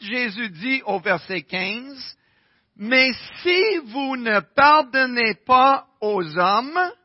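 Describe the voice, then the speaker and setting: English-Canadian man preaching, high voice. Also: no echo, muffled recording. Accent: English-speaking Canadian. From Winnipeg, Canada. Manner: conversational preaching with rhetorical questions. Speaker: pastor. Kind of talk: sermon.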